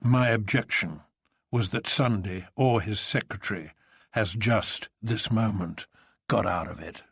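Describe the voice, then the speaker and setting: A man performs a fierce police inspector in a dramatic story reading. The voice is gravelly and husky, declaiming with bitter, sardonic emphasis in a dry recording. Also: lowish voice, a little slow, a bit bright, no echo, full character voice. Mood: grimly serene.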